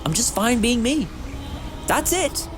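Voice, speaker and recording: A man's voice: extremely high pitch, very animated, takes it slowly, exaggerated voice. A man playing an eccentric time-travelling hero in an audio drama, wry, modest and moralising, with a theatrical manner. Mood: candid, persuasive.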